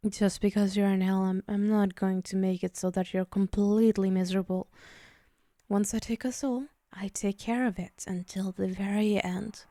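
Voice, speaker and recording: A woman playing a powerful, possessive female demon in an ASMR roleplay, teasing and condescending in a softly menacing murmur that slips toward whispers. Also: medium pitch, steady tone, normal speed, no echo, clean studio sound, lightly acted. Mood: amused, imperious.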